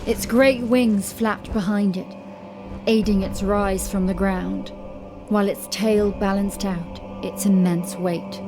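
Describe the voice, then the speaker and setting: Slow-paced woman narrating dark horror fiction. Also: medium pitch, fairly even intonation. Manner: measured, deliberate storytelling. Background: music.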